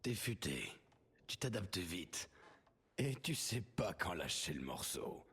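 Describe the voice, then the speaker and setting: An actor playing a wounded veteran mentor in a game, wry, encouraging and familiar, his speech breathy. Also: sits low, big pitch swings, takes it slowly, fairly bright tone, full character voice.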